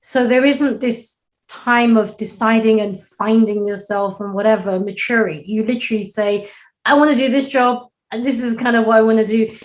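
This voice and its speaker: A woman's voice: a little high, steady tone, normal speed, rough recording. A woman from the UK, a doctor speaking as a panel guest in a conversational way. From United Kingdom. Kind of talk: livestream panel discussion.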